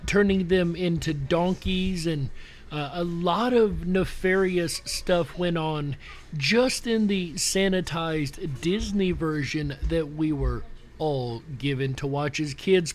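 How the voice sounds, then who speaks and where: A man hosting a show in a casual, conversational showman's style, speaking directly to his listeners. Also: high-pitched. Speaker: show host. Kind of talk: casual live show commentary to audience. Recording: music playing behind the voice. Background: background music.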